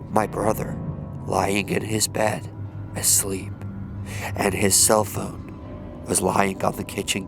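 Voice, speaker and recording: A man narrating as an unnerved college student telling a creepy story, in a hushed, deliberate, ominous manner. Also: lowish voice, creaky, pitched below the performer's own voice. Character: unnerved young male college student recounting a creepy night. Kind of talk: slow scary-story narration.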